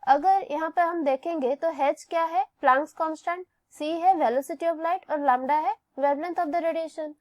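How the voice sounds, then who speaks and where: A woman with an Indian accent and a high voice works through a lesson as a teacher. She goes slowly and methodically, asking a question and then answering it herself. The sound is close and dry. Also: darkish tone, no echo. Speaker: physics teacher. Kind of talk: step-by-step lesson, explaining a worked problem.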